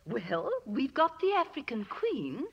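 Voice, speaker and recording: Proper, strong-willed lady, old radio drama, high pitch, lively swoops, formal wording, muffled vintage sound. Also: takes it slowly, breathy edge, full character voice. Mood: eager, scheming. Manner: formal, proper, precise phrasing. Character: prim, determined, patriotic lady with iron will. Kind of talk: 1950s radio drama acting. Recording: dull vintage radio broadcast.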